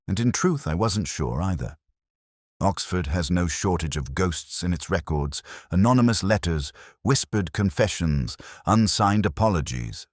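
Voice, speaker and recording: A middle-aged man narrating a first-person detective story in a brooding, measured, literary way. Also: low-pitched, no room sound, clean studio sound.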